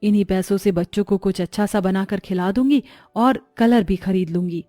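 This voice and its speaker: A woman playing a gentle, worried, patient mother and wife in a moral-story cartoon. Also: medium pitch, fairly even intonation, normal speed, dry recording, faint music behind, close to an everyday voice.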